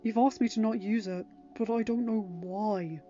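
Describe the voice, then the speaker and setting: A woman voicing a gentle but quietly firm young king in an audiobook, soft, patient and coaxing. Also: medium pitch, normal speed, lightly acted. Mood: gently concerned. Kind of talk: acted audiobook dialogue. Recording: dull lo-fi home recording, music underneath.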